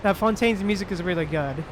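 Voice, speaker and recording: A man chatting casually as he livestreams a game, with hesitant, drawn-out phrasing. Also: high voice, background noise. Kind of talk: casual livestream chat while playing. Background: game music.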